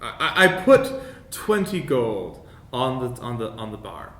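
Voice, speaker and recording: A man's voice: big pitch swings, a bit bright. Hesitant male tabletop gamer, roomy recording. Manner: hesitant, halting, polite. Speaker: player in a tabletop role-playing game. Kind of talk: casual in-character and descriptive game play. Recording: echoey room with faint rumbling noise.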